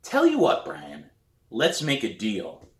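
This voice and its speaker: A man's voice: medium pitch, huge pitch swings, takes it slowly, roomy sound, pitched above the performer's own voice, exaggerated voice. A man voicing a determined, guarded young teen dealing with a younger sibling in a storybook reading. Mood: bargaining. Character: determined, exasperated older sibling, music-obsessed young teen drummer.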